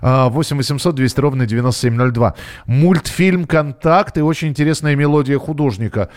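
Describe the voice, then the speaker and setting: A man's voice: mid-range voice, fairly quick, darkish tone. A man hosting a radio call-in show, chatting casually and musing aloud as he goes. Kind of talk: casual live call-in chat.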